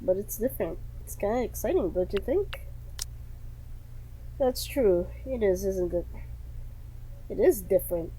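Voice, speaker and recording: A woman streamer with a mellow, mid-pitched voice gives casual game commentary. She drops wry, reactive asides, with faint noise behind her. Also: animated, a little slow, long pauses, low-quality recording. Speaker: gaming channel streamer. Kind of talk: casual let's-play commentary, reading some game lines aloud.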